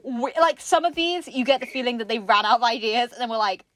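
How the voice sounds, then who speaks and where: A woman's voice: a little high, expressive, fast, a bit bright, no room sound. A woman co-hosting a craft show in casual comedic chat, giggly and playfully sarcastic as she presents.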